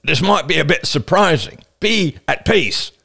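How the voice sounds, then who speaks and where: A man performs a scowling leader of a wilderness tribe in an audiobook. He is wary and scornful, used to command, and his pitch moves about widely. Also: lively intonation, measured pace, gravelly, bright, forceful tone, breathy edge, full character voice. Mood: urgent.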